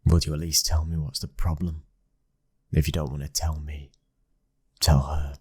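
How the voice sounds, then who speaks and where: A man plays a brooding British mafia boss's son in an ASMR romance roleplay. His voice is extremely low and husky, kept close and intimate. He speaks as a protective, devoted husband, often dropping into a whisper. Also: little pitch movement, moderate pace, no room sound, exaggerated voice. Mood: stern, exasperated. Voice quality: very deep, breathy, husky. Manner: hushed intimate delivery slipping into whispers.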